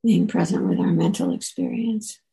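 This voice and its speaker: A woman teacher leading a guided meditation in a soft, relaxed way, leaving gentle pauses. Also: fairly low pitch, vocal fry, poor audio.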